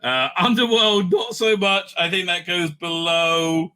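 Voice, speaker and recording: Very bright-voiced man, casual off-the-cuff commentary. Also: sits high, measured pace. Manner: animated, thinks aloud with frequent asides. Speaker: host ranking TV serials.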